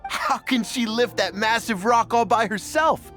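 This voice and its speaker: Woman as a marvelling, highish-voiced townswoman. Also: a little slow, over music, natural voice. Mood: amazed. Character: gossiping, amazed townswoman onlooker.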